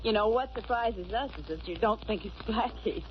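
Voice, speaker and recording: An actress playing a loyal, quick-witted, composed young sweetheart of a detective in 1940s radio style. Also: female voice, medium pitch, natural voice. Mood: protective, wry. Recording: muffled old radio recording, faint hiss.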